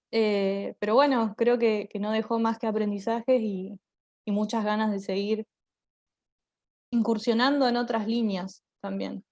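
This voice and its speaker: A woman's voice: mid-range voice, darkish tone, muffled recording. A woman gives conversational panel answers, reflective and often rephrasing as she explains. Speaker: theatre group member. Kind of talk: conversational panel answers about creative work.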